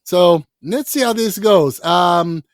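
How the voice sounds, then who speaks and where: A man hosting a casual film podcast, excitable, laughing often and swearing freely. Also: high-pitched, takes it slowly, dry recording, studio quality. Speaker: podcast host.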